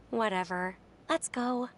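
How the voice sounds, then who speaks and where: A woman's voice: medium pitch, extremely slow, a little hiss or hum. A woman playing a sullen, sardonic young woman in an anime game, drawling and dismissive. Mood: irritated.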